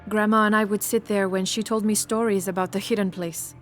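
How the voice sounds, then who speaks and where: An actress playing a young, blunt and sceptical Inca companion in a video game cutscene. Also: female voice, mid-range voice, flat delivery, brisk, lightly acted. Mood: earnest. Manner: questioning, blunt. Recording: music under the voice.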